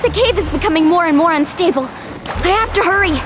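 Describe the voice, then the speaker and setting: A young video game surfer guide, brave and upbeat. Her voice is high and earnest, with a resolute way of speaking. Music is clearly heard underneath. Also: lively intonation, a little fast.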